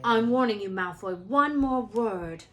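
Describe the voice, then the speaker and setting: A woman's voice: on the high side, animated, unhurried, fairly bright tone. Woman doing schoolboy, storybook hero's friend, hot-tempered, snappy, loyal, emphatic. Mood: angry warning.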